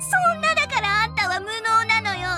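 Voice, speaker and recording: Very high, smug childlike witch. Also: animated, very fast. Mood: mocking. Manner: mocking, condescending. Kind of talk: Japanese anime-style game voice acting. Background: playful game music.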